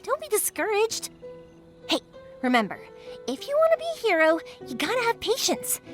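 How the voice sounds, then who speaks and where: A kindhearted young fireworks maker in an anime game. Her voice is high and very animated, chatty, upbeat and encouraging. Music plays softly underneath. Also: moderate pace, heavily acted. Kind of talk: anime video game voice acting.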